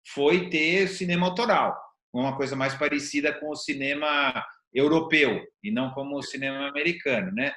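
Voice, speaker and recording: A man's voice: on the high side, bright, forceful tone. An older-sounding Brazilian man with a slightly raised voice talks things through in conversation. He explains step by step and repeats phrases for emphasis, in a roomy, echoing recording. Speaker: interview show host. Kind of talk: conversational discussion.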